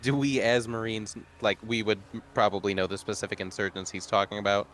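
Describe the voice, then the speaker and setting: A man's voice: fairly low pitch, little pitch movement, brisk, fairly bright tone. A man playing a tabletop game, chatting casually with a dry, offhand manner.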